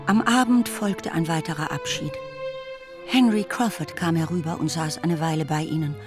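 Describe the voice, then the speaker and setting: Measured woman narrator over music. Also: low-pitched. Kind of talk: scripted literary narration in a radio drama.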